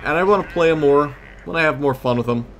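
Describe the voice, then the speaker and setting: A man's voice: on the high side, fast talker, a little hiss or hum. A man giving casual, rambling gameplay commentary as host of a gaming series, often losing his train of thought.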